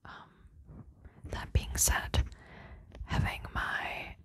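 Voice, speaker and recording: A woman's voice: drawn-out words, no room sound. Whispering Czech woman, close to the mic. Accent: mixed American and British, picked up from media. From Czech Republic. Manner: soft whispering close to the mic, chatty and rambling. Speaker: ASMR creator. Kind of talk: whispered ASMR chat. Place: small room in front of a bookshelf. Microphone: fluffy mini mic held at the mouth.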